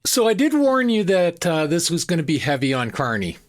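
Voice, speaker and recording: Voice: mid-range voice, big pitch swings, a little fast. A host giving opinionated political commentary in an emphatic, repetitive and scornful manner.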